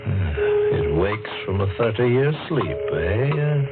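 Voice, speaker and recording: An actor playing an affable but sly older man in an old radio mystery, talking in halting, musing phrases. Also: fairly low pitch, big pitch swings, loud music behind the voice. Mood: probing, genial. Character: affable, sly older male cousin hiding guilt. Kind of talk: 1940s radio mystery acting. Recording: noisy, muffled vintage radio recording.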